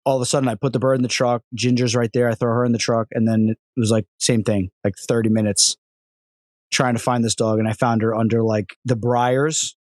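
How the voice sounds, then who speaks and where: A man's voice: mid-range voice, fairly quick, dry recording. A man co-hosts a podcast with a mid-range voice, cracking jokes as he tells stories. The sound is dry and close, with no room around it. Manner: joking, animated storyteller. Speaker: podcast co-host. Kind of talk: casual podcast chat.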